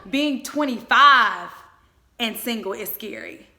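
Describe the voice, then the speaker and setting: A woman in her twenties giving a motivational faith talk, speaking in an emphatic, exhorting way with deliberate repetition. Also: on the high side, pushed and bright, slight background noise. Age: 20s.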